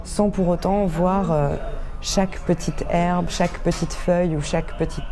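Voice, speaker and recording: A French woman, an artist, talking hesitantly about her work, with many filler sounds and pauses, filmed on a handheld camera. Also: fairly low pitch, steady tone. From France. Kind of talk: casual self-presentation to camera. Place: cultural centre during a New Year event. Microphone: handheld camera at arm's length. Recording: crowd noise around the camera. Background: crowd chatter.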